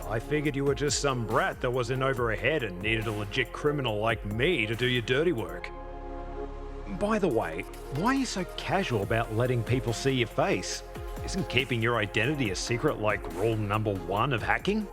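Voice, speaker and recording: A man's voice: mid-range voice, animated, moderate pace, music in the background, lightly acted. An actor voicing a cocky, roguish male pilot captain in a video game cutscene, teasing, flippant and sweary. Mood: cocky, amused.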